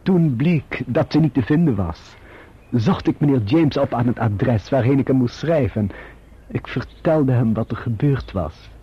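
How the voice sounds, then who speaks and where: A muffled radio drama recording of a servile Dutch manservant. His voice is very husky and swings widely in pitch. He reports in formal, deferential, self-serving phrases with careful hesitations. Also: expressive, unhurried. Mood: formal, self-justifying. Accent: Netherlands Dutch.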